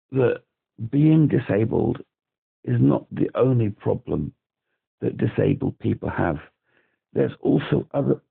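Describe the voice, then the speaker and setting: Male British caller on a phone line, very gravelly and husky, agitated and rambling. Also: mid-range voice. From Britain. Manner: rambling, agitated, repeats himself. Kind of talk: phone call to a helpline. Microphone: telephone.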